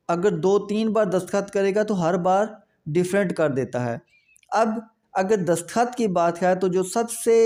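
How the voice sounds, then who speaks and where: A man's voice: high voice, warm tone. A man with a Pakistani accent giving an explanatory legal lecture, going through it methodically step by step and repeating points.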